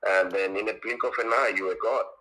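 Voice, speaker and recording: Halting low-voiced male guest. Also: fairly even intonation, a crowd in the background, low-quality recording. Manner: halting, repeats words and restarts. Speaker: guest, teacher. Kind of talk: casual interview chat. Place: China.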